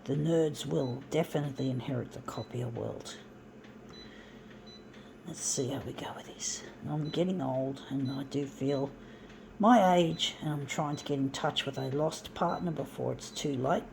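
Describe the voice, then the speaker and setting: A woman's voice: very deep voice, measured pace, vocal fry, darkish tone, slight background noise, rough recording. A woman in her fifties talking in a rambling, self-deprecating monologue, growing frustrated and swearing as she goes. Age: 50s. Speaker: vlogger. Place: indoors, room with a copier.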